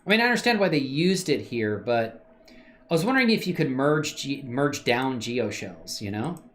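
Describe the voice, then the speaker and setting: Casual streamer guy, mutters through his work, pitch bounces a lot. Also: moderate pace. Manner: muttering and thinking aloud while working, answering chat; relaxed slangy talk with many fillers. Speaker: livestreamer doing 3D modeling. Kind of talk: casual think-aloud livestream.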